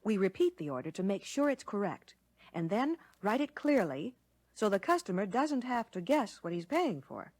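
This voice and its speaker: Lively American waitress, very expressive. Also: female voice, mid-range voice, normal speed, dry recording. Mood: polite, instructive. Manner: courteous, instructive, clearly worded. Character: brisk, courteous lunch counter waitress explaining her work. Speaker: waitress demonstrating service. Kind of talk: scripted 1960 training film acting, instructional. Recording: dry 1960 training film soundtrack.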